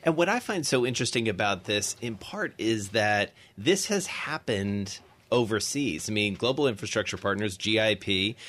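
A man's voice: on the low side, animated, normal speed, fairly bright tone, dry recording. A man hosting a business radio show, wordy and playful, with some hesitations, speaking in a studio. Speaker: radio host.

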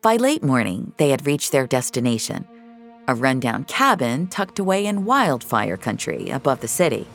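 A woman's voice: a bass voice, sing-song, normal speed, creaky, faint music behind. A woman narrating scripted audio fiction as a storyteller.

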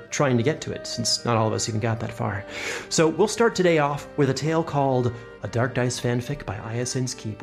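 A man hosting a podcast, reading a scripted introduction to the show. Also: mid-range voice. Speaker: podcast host.

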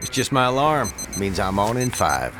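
An actor playing a tactless, cold, controlling politician in an audio drama, speaking in a blunt, terse, demanding way. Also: male voice, fairly low pitch, big pitch swings, normal speed, over music. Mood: guarded.

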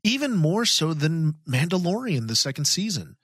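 A man with a slightly high voice chats as an enthusiastic fan podcaster. He is emphatic, repeating words for stress, and sounds close and dry. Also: very animated, moderate pace, fairly bright tone, husky, no echo.

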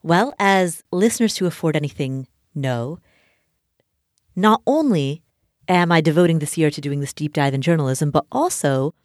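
A woman hosting a podcast, from Nepal, speaking in an earnest, measured way. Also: low voice, a little mellow, no room sound, clean studio sound. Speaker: podcast host. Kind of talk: podcast talk and ad read.

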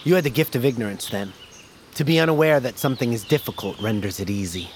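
A man's voice: medium pitch, quick pace, a little hiss or hum, lightly acted. A man as a stern, sardonic smuggler guide. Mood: needling. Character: stern, weathered smuggler guide, grudging and proud. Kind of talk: dramatic audio fiction acting.

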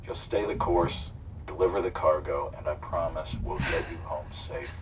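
A calm, sardonic hijacker in an audio drama who coaxes and threatens. His voice is very deep and sits at a distance from the mic, muffled, with faint noise behind. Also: moderate pace. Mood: coaxing. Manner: calm, sardonic, persuasive, turning preachy. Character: smooth male eco-activist hijacker, menacing. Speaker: radio drama character.

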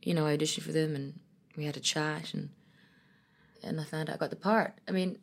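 Brief: woman, actress in interview, low gravelly voice, hesitant, restarts often. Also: steady tone, a little fast. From Ethiopia and Ireland. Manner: thoughtful, hesitant, with frequent restarts. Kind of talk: conversational interview.

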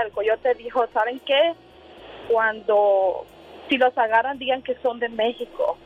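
A woman caller telling a personal story hesitantly, full of fillers and quoted dialogue, over a phone call from a car. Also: fairly high pitch. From Honduras. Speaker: radio call-in listener. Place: in a car while driving. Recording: tinny noisy phone call.

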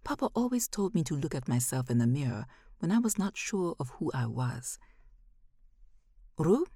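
A woman narrating a first-person literary short story in a measured, expressive way. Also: low-pitched, husky, dry recording, clean studio sound.

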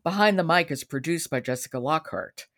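A woman's voice: low voice, expressive, a little fast, fairly bright tone. A woman hosting a podcast about books, moving between conversation and announcements. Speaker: podcast host. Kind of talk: conversational book review chat and announcing.

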